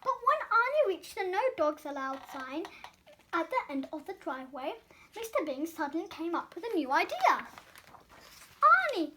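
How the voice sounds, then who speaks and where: A woman's voice: extremely high pitch, sing-song, moderate pace, noisy background. A woman storyteller reading a children's picture book aloud with expression.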